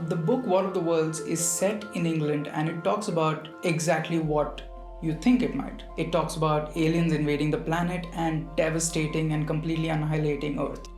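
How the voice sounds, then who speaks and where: A man's voice: fairly high pitch, a little mellow. Roomy-sounding man narrating a video essay. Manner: storytelling, addresses the viewer directly. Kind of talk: conversational scripted narration. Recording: roomy voice with background music.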